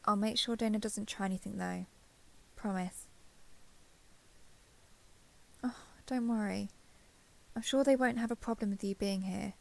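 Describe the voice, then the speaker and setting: A young woman playing a caring giant dragon girl in an intimate audio roleplay, speaking to the listener in a gentle, reassuring, slightly hesitant way. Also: mid-range voice, fairly even intonation, moderate pace, vocal fry, natural voice. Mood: soft, reassuring.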